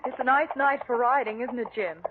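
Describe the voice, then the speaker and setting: An actress playing a troubled, defensive young heiress in 1940s radio style, on a noisy old recording. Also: female voice, fairly high pitch, a little fast, phone-quality sound, loud music behind the voice, close to an everyday voice. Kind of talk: 1940s American radio acting.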